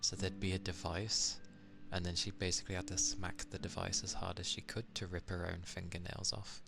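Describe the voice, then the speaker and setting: A man from England with an English accent, chatting casually on an ASMR stream, soft-spoken and at times drifting into whispers. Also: sits low, little pitch movement, a little fast, gravelly, noisy background.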